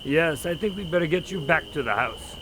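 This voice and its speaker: A man playing an earnest, observant doctor who investigates a case, speaking in a measured, explanatory way in an audio drama. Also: fairly high pitch, animated, natural voice.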